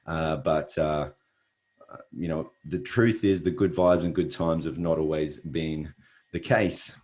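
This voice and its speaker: Aussie guy with a really deep voice hosting a webinar, earnest, lots of uh's. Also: fairly even intonation, slow pace, a little mellow. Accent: Australian. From Australia. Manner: earnest, repetitive, frequent "uh" fillers. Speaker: webinar host. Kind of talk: motivational presentation.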